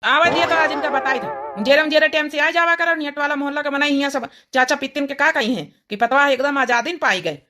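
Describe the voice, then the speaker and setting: A woman's voice: sits high, fast, sharp tone. A woman voicing an exasperated young village husband in a cartoon comedy, chiding in a rustic Awadhi accent. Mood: concerned, annoyed.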